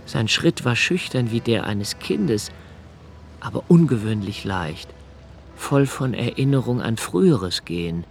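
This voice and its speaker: An actor playing a solitary, brooding young poet of twenty-eight who narrates his own thoughts in a literary radio drama, in a slow, measured, contemplative way. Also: mid-range voice, lively intonation, gravelly, soft background music. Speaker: first-person narrator of a radio play.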